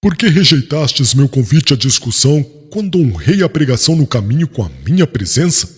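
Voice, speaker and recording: A man's voice: on the high side, very animated, a little fast, full character voice. A man playing a proud, scornful religious prosecutor in an audiobook, speaking formally and severely. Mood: stern. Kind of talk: dramatized audiobook acting, archaic formal speech. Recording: long hall reverb, slightly husky sound.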